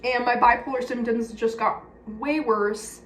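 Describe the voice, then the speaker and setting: A woman's voice: a little high, fairly even intonation, measured pace, a bit bright. A woman with a Californian accent chatting candidly and casually to her viewers, confiding and blunt. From California, United States. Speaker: personal vlogger. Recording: echoey room with faint background noise.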